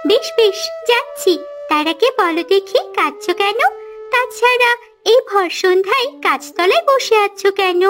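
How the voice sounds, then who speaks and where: Tiny, very high kindly fairy-tale helper. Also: female voice, animated, normal speed, fairly bright tone. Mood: mildly miffed. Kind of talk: Bengali cartoon voice acting. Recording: music behind the voice.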